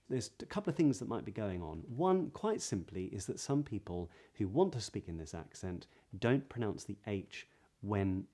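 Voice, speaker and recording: A man with a southern British English accent giving a pronunciation lesson as a voice coach, explaining clearly and modelling sounds. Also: lowish voice, darkish tone. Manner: clear, demonstrative, breathy example sounds.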